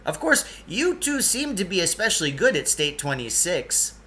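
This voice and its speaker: Man putting on a bright, jumpy, fussy guide. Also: high-pitched, very animated, normal speed, a little hiss or hum, pitched above the performer's own voice, heavily acted. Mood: fussy, hurried. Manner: hesitant stammering, then emphatic and touchy. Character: jumpy, fussy, proud male guide from another world.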